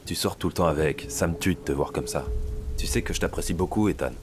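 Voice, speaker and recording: An actor playing a paternal police boss in an audio drama thriller, speaking in a measured, confiding way. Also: male voice, a bass voice. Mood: reassuring, concerned. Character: paternal male police boss briefing his agent. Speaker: police superior. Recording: background music under the voice.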